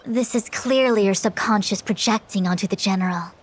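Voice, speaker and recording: A woman's voice: mid-range voice, a little fast, lightly acted. An actress playing a composed, self-assured female sage in an anime-style game, speaking formally and precisely with authority. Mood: dry, composed.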